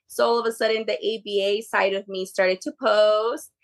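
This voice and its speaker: A woman's voice: medium pitch, fairly even intonation, normal speed. A woman whose first language is Spanish, chatting informally as a podcast guest about her work. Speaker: podcast guest, behavior therapy tutor. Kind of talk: casual conversational interview.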